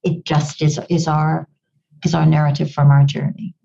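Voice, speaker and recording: Woman with a bit of an english kenyan accent, earnest and reflective, kinda low mellow voice, dull lo-fi sound. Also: flat delivery, moderate pace, gravelly. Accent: slight English-tinged Kenyan. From Goa, India; raised in Kenya. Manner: earnest, reflective, repeats words as she restarts. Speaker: podcast guest, leadership speaker. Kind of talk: conversational interview answers.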